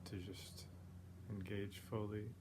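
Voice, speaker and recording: Male meditation guide, deep-pitched and very slow, plain-spoken, pausing often between phrases. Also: level tone. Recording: clearly noisy background ambience.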